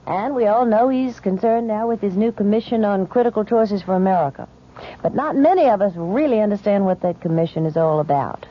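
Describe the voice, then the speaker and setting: A woman's voice: medium pitch, brisk. A woman reading a scripted promotional announcement on the radio. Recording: muffled old radio recording.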